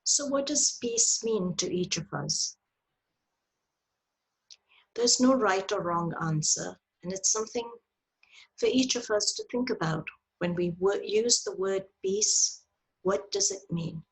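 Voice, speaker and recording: A woman leading a guided meditation in a soft, breathy voice, with gentle, deliberate phrasing and long pauses, heard over a video call. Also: medium pitch, animated, poor audio. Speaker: meditation teacher leading a yoga nidra. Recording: video call audio with clicks.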